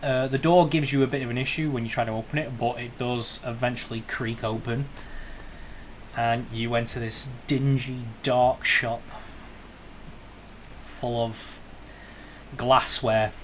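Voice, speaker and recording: A man's voice: mid-range voice, flat delivery, a little slow, pauses often, fairly bright tone, a little hiss or hum. A man narrating an improvised tabletop game as its game master.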